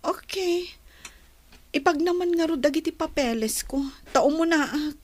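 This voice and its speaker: A woman's voice: high voice, measured pace. A woman playing an ailing, pleading young daughter in a radio drama, with a Filipino accent. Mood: plaintive, resigned. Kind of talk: Ilocano radio drama acting.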